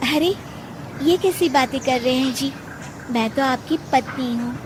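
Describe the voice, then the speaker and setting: A woman voicing a gentle, patient, soft-spoken young wife in a cartoon. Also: sits high, unhurried, background noise, voice pushed up. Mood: tender.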